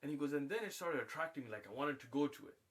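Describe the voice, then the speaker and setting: A man's voice: fairly high pitch, racing. A man from the United States telling a long anecdote in casual livestream talk, acting out the people in it. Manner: animated, acts out voices in anecdotes.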